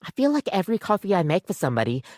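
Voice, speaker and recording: Lively, overworked barista. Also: female voice, medium pitch, racing, no echo, studio quality. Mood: awkward, apologetic. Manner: casual, rambling, self-deprecating, hesitant. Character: overworked, apologetic female coffee-maker barista, chatty and wearily devoted. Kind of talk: video game character acting, conversational.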